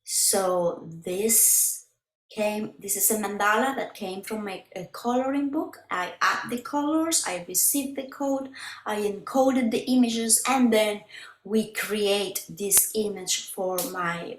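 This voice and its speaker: Slow-speaking Spanish-native woman, echoey room. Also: medium pitch. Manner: slow, halting, with frequent fillers and restarts. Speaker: crystal healer presenting her website. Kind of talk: screen-share walkthrough.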